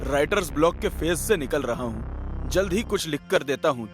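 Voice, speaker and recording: A man's voice: on the high side, lively intonation, normal speed, natural voice. Man acting laid-back writer, casual, understated, conversational story delivery, music behind. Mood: casual, apologetic.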